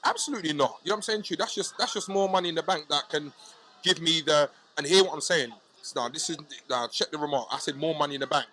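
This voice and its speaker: A man chatting casually as a hip hop artist guest, animated and slangy, often asking if you know what he's saying. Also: a little high, quick pace. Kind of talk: casual podcast chat.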